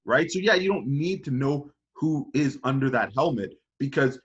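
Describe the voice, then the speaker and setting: A man's voice: medium pitch, expressive, normal speed, muffled recording. A man in casual podcast debate, arguing his point in an insistent, emphatic way. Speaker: podcast panelist.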